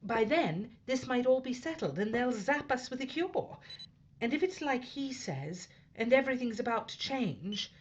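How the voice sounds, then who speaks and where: A woman's voice: fairly high pitch, very animated, normal speed. A woman playing a wry, unflappable spaceship captain in a sci-fi audio drama, speaking dryly and with resolve. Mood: resolute, grim. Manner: dry, sardonic, resolute. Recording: dull recording with faint noise.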